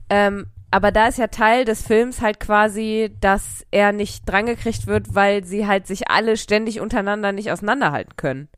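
A woman's voice: medium pitch, normal speed, few pauses, smooth, no room sound. A young woman with a cold, chatting casually as a podcast co-host at a close mic, rambling with fillers and frequent laughs. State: has a cold. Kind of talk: casual chat. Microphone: close studio mic.

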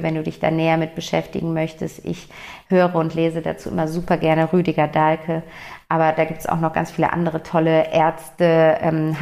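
A woman talking calmly and gently to the listener in a reflective self-help monologue, her voice slightly hoarse. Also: low voice. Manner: calm, gentle, addresses the listener directly.

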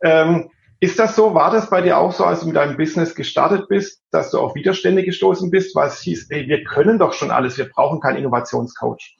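A man's voice: fairly high pitch, a little fast, creaky. A Swabian man hosting a podcast interview, asking questions in a conversational way. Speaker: podcast host. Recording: dull roomy recording.

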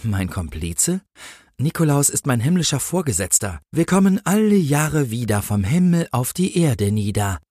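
A man's voice: mid-range voice, sing-song, normal speed, dark tone, no room sound, clean studio sound, pitched above the performer's own voice. A man voicing a pompous, easily indignant Christmas angel in a comic story, speaking in a solemn, preachy, formal way. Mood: protective, solemn.

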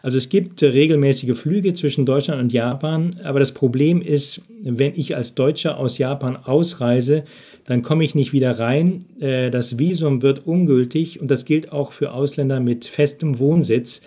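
A man's voice: mid-range voice, moderate pace, warm tone. Hesitant man on a dull remote line. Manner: hesitant, frequent filler sounds. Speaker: business expert interviewed from Japan. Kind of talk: podcast interview answers.

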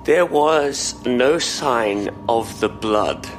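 An actor playing a distraught, sleepless police constable in an audio drama, speaking haltingly and earnestly. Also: male voice, mid-range voice, faint music behind. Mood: grim, haunted.

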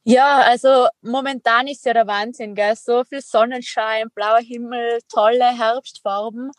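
Woman, Tyrolean accent, young adult, chatty, upbeat, slightly high voice, dry recording. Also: fairly even intonation, measured pace, no echo. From Tyrol, Austria. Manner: chatty and upbeat, laughs often, many "äh" fillers. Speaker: guest, extreme athlete and entrepreneur. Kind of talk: casual interview chat.

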